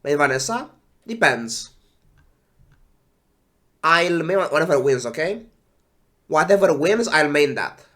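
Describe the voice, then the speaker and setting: A man who is sick and congested, sighing and talking slowly while casually reading game patch notes on a stream. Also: a little high, lively intonation, a bit bright. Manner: slow, sighing, trailing off. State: congested with flu.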